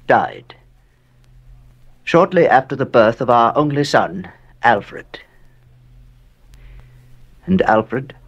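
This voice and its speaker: Old brooding rich widower narrating a horror audio drama, mid pitch, solemn, lots of dramatic pauses. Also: male voice, muffled recording. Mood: sombre, weary. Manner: measured, deliberate, solemn delivery with dramatic pauses. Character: old bereaved wealthy American man, brooding gothic narrator.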